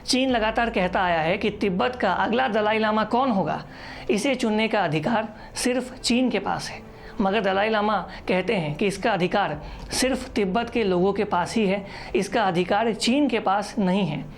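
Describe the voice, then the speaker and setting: A woman's voice: medium pitch, moderate pace, a little hiss or hum. A woman reading a scripted news explainer as a narrator. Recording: voice-over with music underneath. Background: background music.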